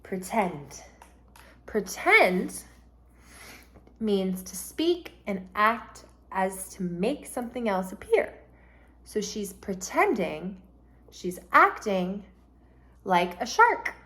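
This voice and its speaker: A woman teacher reading a storybook aloud to children in a deliberate, instructive, expressive way and explaining vocabulary as she goes. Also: mid-range voice.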